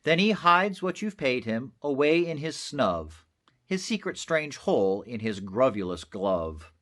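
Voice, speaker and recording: A man's voice: mid-range voice, very animated, measured pace, a bit bright. A man reading a children's storybook aloud in a theatrical, rhythmic way. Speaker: storybook reader, teacher. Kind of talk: animated children's read-aloud.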